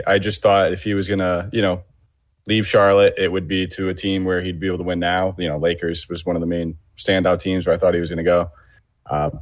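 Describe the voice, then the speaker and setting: Deep-voiced laid-back male co-host. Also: dry recording. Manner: laid-back, joking, with many fillers. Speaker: podcast co-host. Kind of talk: casual podcast chat.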